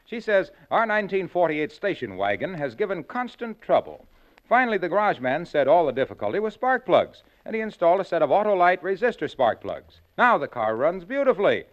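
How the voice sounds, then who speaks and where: Upbeat ad announcer, fairly high voice, dull 1940s radio recording. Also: male voice, big pitch swings, a little fast. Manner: enthusiastic sales pitch. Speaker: sponsor announcer. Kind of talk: scripted radio ad.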